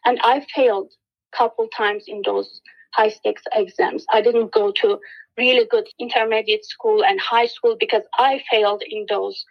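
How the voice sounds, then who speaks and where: A woman from Turkey with a Turkish accent, giving an earnest, steady interview answer with many fillers and restarts. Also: medium pitch, moderate pace, lo-fi sound. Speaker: guest, education specialist.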